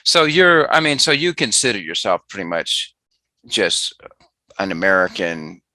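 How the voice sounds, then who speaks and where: A man's voice: lowish voice, very animated, a little slow, bright, forceful tone, no echo. A man hosting a casual interview, asking rambling, chatty questions with many restarts.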